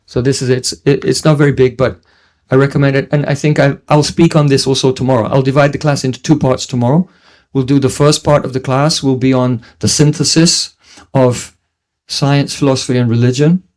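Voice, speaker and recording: A man's voice: medium pitch, fairly even intonation, brisk, a little mellow. A middle-aged man from New Zealand giving a devotional lecture, teaching earnestly with frequent restarts and chanted Sanskrit verses. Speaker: spiritual teacher.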